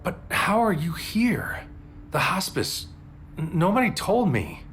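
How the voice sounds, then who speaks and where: A man's voice: high-pitched, sing-song, slow pace, a bit bright, a little hiss or hum, heavily acted. A man playing a tormented, brooding man who narrates his surreal story in a poetic, weighty audio drama style. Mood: pleading, shaken. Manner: poetic, weighty inner monologue.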